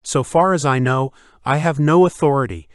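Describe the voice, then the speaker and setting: Awkward young newcomer, the narrator's voice lifted a bit: polite, hesitant. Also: male voice, a little high, normal speed, no echo, studio quality, voice pushed up, lightly acted. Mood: uneasy. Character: awkward young man out of place.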